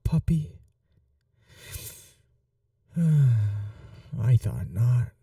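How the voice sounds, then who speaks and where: A woman playing a possessive, wounded vampire mistress in an intimate ASMR roleplay, speaking softly and breathily, often in whispers, with slow, tender coaxing. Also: very deep voice, vocal fry, dry recording, clean studio sound, distant mic, full character voice. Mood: teasing, coaxing, then concerned, pleading.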